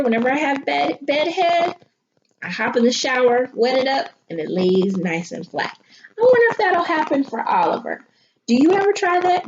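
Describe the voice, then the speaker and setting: A woman schoolteacher reading a children's picture book aloud expressively, pausing to think aloud and put questions to young listeners. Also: high voice. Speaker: elementary school teacher. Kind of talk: animated children's picture-book read-aloud with teaching asides. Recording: muffled audio with clear background noise.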